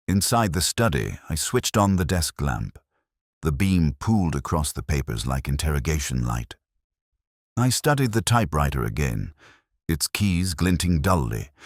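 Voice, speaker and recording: Very deep-voiced male mystery narrator. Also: no echo, studio quality. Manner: measured, literary, solemn delivery. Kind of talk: scripted first-person detective mystery narration.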